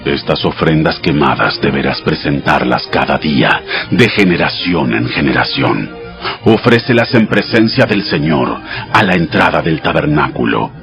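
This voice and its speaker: A man playing God as a solemn, commanding male deity, delivering measured commands in a dramatized audio scripture. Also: very deep voice, lively intonation, creaky, full character voice. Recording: music behind the voice. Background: music.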